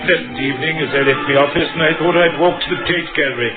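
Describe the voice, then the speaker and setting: A composed middle-aged lawyer in an old radio crime play, played by a man. The voice sits a little high, clipped but conversational. Music lies behind the tinny broadcast sound. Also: fast, lightly acted.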